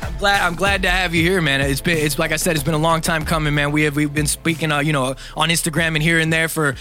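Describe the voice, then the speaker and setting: A man's voice: fairly high pitch, fairly even intonation, fast talker, bright, forceful tone, faint music behind. A young man hosting a podcast, talking in a hype, rambling way with lots of fillers, a bit congested from a cold. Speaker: podcast host. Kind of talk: casual podcast chat.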